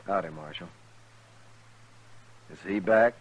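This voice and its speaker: A man's voice: low voice, slow, dull audio, slight background noise. A man acting a proud, plain-spoken gunfighter with folksy cowboy talk in a 1950s radio western. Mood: steady, defiant.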